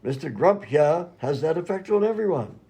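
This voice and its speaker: A man acts out a dotty, genial doctor for a radio comedy. The pitch swoops up and down as the character dithers politely, oblivious to everything. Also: very animated, moderate pace, dark tone. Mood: cheerful, befuddled. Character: dotty, genial, absent-minded male doctor. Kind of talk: comedy radio sketch acting.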